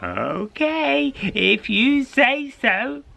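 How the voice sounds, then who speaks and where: A man voicing a very old, solemn woman in a told story, questioning and instructing in a deliberate way. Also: extremely high pitch, big pitch swings, vocal fry, sharp tone, voice pushed up, heavily acted.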